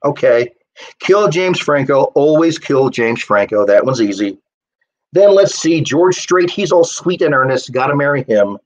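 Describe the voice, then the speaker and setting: A man's voice: mid-range voice, big pitch swings, measured pace, lo-fi sound. A man voicing a playful, teasing young woman in a live story reading, talking in a casual, blunt way.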